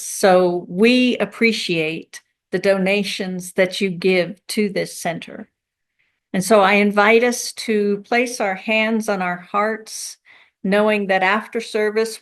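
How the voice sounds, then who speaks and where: A woman leading prayer and the offering at a spiritual service, phrasing things in a measured, deliberate, reverent way. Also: medium pitch. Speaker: service leader at a spiritual center.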